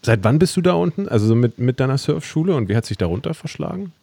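A man co-hosting a podcast, casual and joking with quick interjections. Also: medium pitch, sing-song, gravelly, mellow, breathy edge, no echo. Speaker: podcast co-host.

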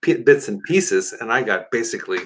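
Older male host for a relaxed, chatty interview. Mid-pitched voice, repeats words while thinking aloud. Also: normal speed. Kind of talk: casual conversational interview.

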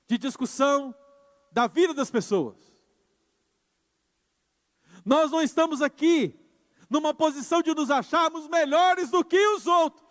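A man pastor preaching a sermon with emphasis, moving between quiet passages and loud insistent ones. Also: extremely high pitch. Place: church. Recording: church hall with long reverb.

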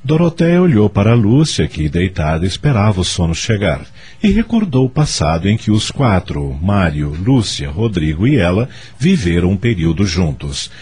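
A man's voice: low-pitched, sing-song, moderate pace, hardly any pauses, darkish tone, husky, full character voice. A man with a Brazilian accent narrating a radio drama in solemn storytelling style.

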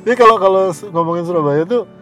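A man from West Java co-hosting a casual podcast, easygoing and quick to chime in with agreeing murmurs. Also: sits high, fairly bright tone, soft background music. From West Java, Indonesia. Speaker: podcast co-host. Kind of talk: casual chat.

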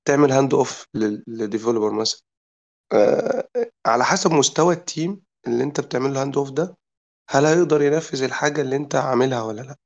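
An Egyptian man with a cold talks quickly in a casual group chat, and his voice is gravelly and worn down. He explains his point at length and keeps checking in with his listeners. The audio is dull and lo-fi. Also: medium pitch. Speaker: product designer in a group chat. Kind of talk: casual discussion.

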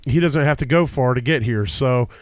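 A man's voice: medium pitch, moderate pace, dry recording, studio quality. Stuffy-nosed man rambling through podcast intros. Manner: rambling with frequent fillers and restarts. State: stuffy nose from a cold. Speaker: podcast host. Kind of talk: casual chat. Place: home basement. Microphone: podcast microphone.

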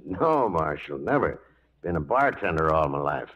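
A man's voice: rumbling low, sing-song, normal speed, fairly bright tone, full character voice. A man playing a simple, good-natured saloon bartender in a 1940s western radio drama. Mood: folksy. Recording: dull, faintly noisy 1940s radio recording.

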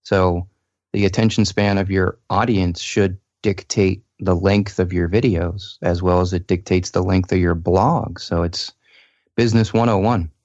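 Deep-voiced man on a dull lo-fi line. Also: fairly even intonation, unhurried, a little mellow, no room sound. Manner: earnest, explains with many "you know"s. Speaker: guest. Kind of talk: casual podcast chat.

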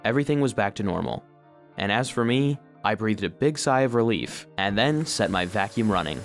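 A fairly low voice over soft music, an earnest little household robot. He narrates his own children's story with expressive, heartfelt feeling. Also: brisk. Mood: heartfelt, relieved. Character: earnest, sensitive vacuum-cleaner robot telling his story. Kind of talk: scripted first-person storybook narration for children.

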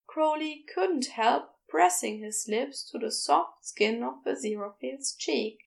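Female fiction narrator, high-pitched voice, vocal fry, scripted storytelling. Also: unhurried. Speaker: podfic narrator.

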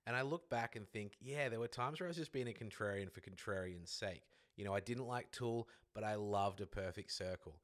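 Low-voiced man leaving a voicemail. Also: fairly quick, hardly any pauses, a bit bright. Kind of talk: recorded voice message.

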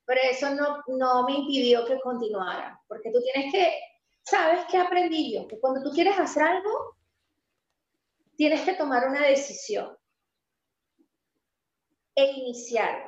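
A woman being interviewed in a casual conversation, animated and emphatic, slowing down to stress her points and laughing easily. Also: high-pitched. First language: Spanish. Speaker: interview guest, pastry entrepreneur. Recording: roomy, slightly dull sound.

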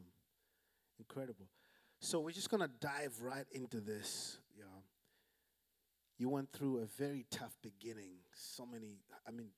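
A man who is a pastor, calling out to the congregation and interviewing a guest in church. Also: medium pitch. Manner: energetic, call-and-response preaching.

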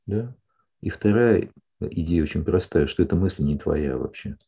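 Low, dark-voiced husky man counseling. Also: normal speed, highs cut off. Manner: calm, explanatory, often checks in with "да?". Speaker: psychologist. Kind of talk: counseling session.

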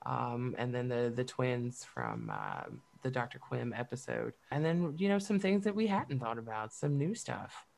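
A woman's voice: extremely low pitch, big pitch swings, unhurried, creaky, darkish tone, slight background noise. A woman co-hosting a podcast in a casual, profane, emphatic chat, often breaking into laughs. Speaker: podcast co-host.

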